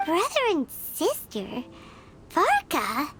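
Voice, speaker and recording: A woman's voice: sits high, wildly swooping pitch, slow, noisy background, heavily acted. A woman voicing a small, chirpy, childlike fairy companion who talks in exclamations in a video game. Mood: puzzled. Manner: chirpy, exclamatory, speaks of herself in third person. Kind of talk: animated video game voice acting.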